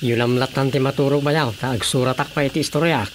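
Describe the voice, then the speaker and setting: An actor playing a skeptical, teasing brother-in-law in an Ilocano radio comedy drama, expressive and questioning, with an Ilocano accent. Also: male voice, medium pitch, quick pace, over music, close to an everyday voice. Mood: grumbling. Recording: faint noise with night insects.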